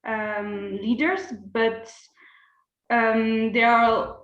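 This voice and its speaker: Woman, slow higher voice, halting program lead; roomy video-call echo. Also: flat delivery, highs cut off. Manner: halting, many fillers.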